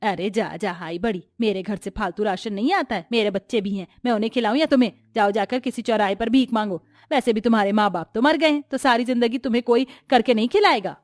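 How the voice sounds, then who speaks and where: A woman's voice: a little high, fast talker, few pauses, no echo, clean studio sound, lightly acted. A woman voicing a stony-hearted cartoon neighbour, harsh and dismissive. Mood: scornful. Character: stony-hearted, scolding neighbour auntie with children.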